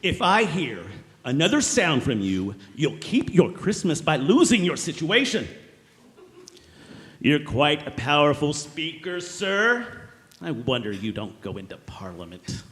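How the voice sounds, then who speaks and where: A man's voice: fairly high pitch, very animated, measured pace, a bit bright. A man playing a miserly, scornful old businessman in a theatrical stage reading, curt, sarcastic and emphatic. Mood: dismissive, sarcastic. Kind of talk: theatrical dramatic reading of Victorian story. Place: large church interior. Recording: echoey hall with long reverb.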